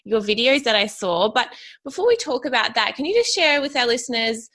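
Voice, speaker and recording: A woman's voice: on the high side, very animated, fairly quick, a bit bright. A woman hosting an interview show, reading an upbeat intro and asking questions with enthusiasm. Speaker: podcast host.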